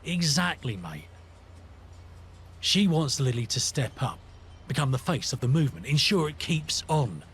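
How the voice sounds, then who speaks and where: An actor playing a conflicted, earnest British rebel in a video game, talking in a matey, reflective, colloquial way. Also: male voice, mid-range voice, sing-song, measured pace, long pauses, a bit bright, a little hiss or hum. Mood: confiding, serious. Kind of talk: scripted video game companion dialogue.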